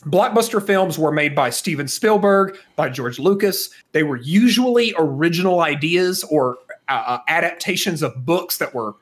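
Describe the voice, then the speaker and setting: A man's voice: a little high, lively intonation, normal speed, hardly any pauses. A man co-hosting a podcast, talking casually and giving opinions with emphatic stress on key words. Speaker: podcast co-host.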